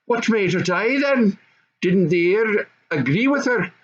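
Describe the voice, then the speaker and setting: A man's voice: high-pitched, expressive, a little slow, lo-fi sound, pitched above the performer's own voice. A man voicing an opinionated, haughty goblin mother in a storybook. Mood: nosy, dismissive.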